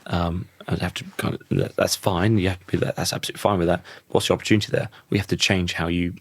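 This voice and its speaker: A man in his thirties with a croaky voice, a podcast guest talking thoughtfully and often restarting his sentences. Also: deep voice, little pitch movement, fast talker, no room sound. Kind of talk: reflective conversational interview.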